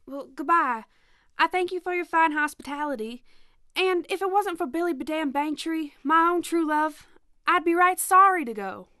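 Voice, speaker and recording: Radio drama role: earnest, lovestruck country girl, played by a woman, very high voice, close and dry. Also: moderate pace, bright, forceful tone, no echo. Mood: grateful, wistful. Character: polite, lovestruck young Texas country woman.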